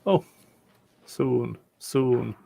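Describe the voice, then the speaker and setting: A man's voice: mid-range voice, gravelly, mellow, no echo. A man with a rural Swedish accent co-hosting casual commentary on trial footage, speaking hesitantly and drily. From Sweden. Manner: slow, hesitant, dry remarks. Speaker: co-host reacting to trial footage.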